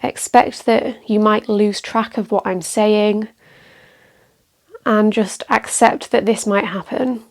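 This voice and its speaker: Slow, creaky-voiced woman guiding meditation. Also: medium pitch. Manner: calm, gentle, measured with pauses. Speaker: meditation teacher. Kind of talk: guided meditation, calm instruction.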